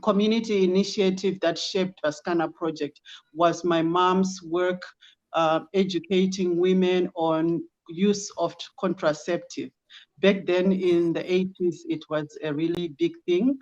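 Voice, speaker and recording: A middle-aged woman with a Zimbabwean accent, a guest answering interview questions in a measured way with many ums. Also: lowish voice, fairly even intonation, darkish tone, highs cut off. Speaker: guest, project founder.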